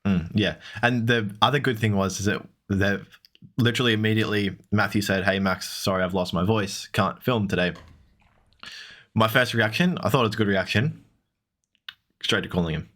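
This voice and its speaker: A man's voice: lowish voice, normal speed, a bit bright. A young man with an Australian accent co-hosting a podcast, teasing his friend and laughing easily. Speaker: podcast co-host. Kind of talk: casual podcast chat.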